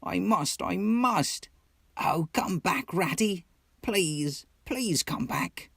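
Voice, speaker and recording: A man voicing a small, anxious, homesick mole in a storybook, pleading plaintively and excitably. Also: high voice, very slow, gravelly, husky, dry recording, clean studio sound, pitched above the performer's own voice, full character voice. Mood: anguished pleading.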